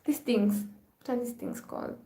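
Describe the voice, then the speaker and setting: A chatty, upbeat woman hosts a live stream, reading viewer comments aloud and thanking them again and again. Her voice sits a little higher than most women's. The room around her gives back a clear echo. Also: wildly swooping pitch, moderate pace, mellow. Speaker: vlogger hosting a live stream.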